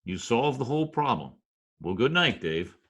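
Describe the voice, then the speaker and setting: A man's voice: medium pitch, very animated, normal speed, a bit bright, breathy edge, heavily acted. A man playing a wry, skeptical Broadway wise guy in a 1940s-style radio drama, wisecracking in stiffly formal slang. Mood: skeptical, sardonic. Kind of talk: old-time radio drama, present-tense slangy narration and dialogue. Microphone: video call recording.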